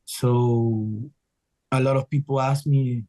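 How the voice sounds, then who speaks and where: A man's voice: medium pitch, steady tone, takes it slowly, warm tone. A man from Ecuador, a guest talking earnestly and in loose rambling sentences in a casual interview. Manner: earnest, rambling, with frequent restarts. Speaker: guest musician.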